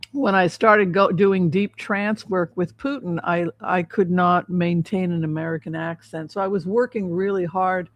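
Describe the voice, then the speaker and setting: A woman hosting a casual livestream as a psychic reader, talking in a halting, repetitive way. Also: on the low side. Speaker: host, psychic tarot reader.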